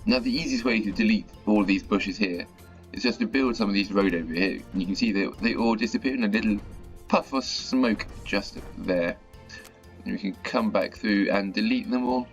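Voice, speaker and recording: A man with a low, croaky voice from a sore throat talks through a game, chatty and now and then mildly exasperated. The audio is a bit dull and lo-fi, with faint music underneath. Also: moderate pace. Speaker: gaming channel host. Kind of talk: casual gameplay commentary.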